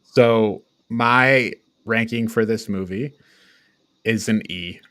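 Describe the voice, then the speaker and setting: A man's voice: fairly low pitch, slow pace, a bit bright, clear, resonant tone, no room sound, studio quality. A man hosting a podcast, chatting casually as part of a panel reviewing movies. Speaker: podcast host.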